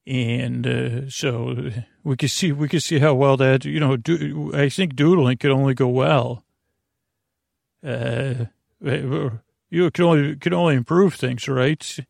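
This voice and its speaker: A man hosting a sleep podcast in a creaky voice, meandering and halting through whimsical, self-deprecating tangents as he eases listeners toward bed. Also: mid-range voice, fairly even intonation, a little mellow, no room sound, clean studio sound. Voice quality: creaky, gravelly. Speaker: podcast host. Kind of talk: rambling bedtime sleep podcast monologue.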